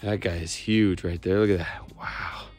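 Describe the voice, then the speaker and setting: An American man in his thirties narrating a casual fishing vlog outdoors on a frozen lake, filmed on a handheld phone and at times hushed to a murmur. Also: low-pitched, fairly even intonation, unhurried, soft background music. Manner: easygoing, drops to a hushed murmur while landing a fish. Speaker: fishing vlogger. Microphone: handheld phone camera.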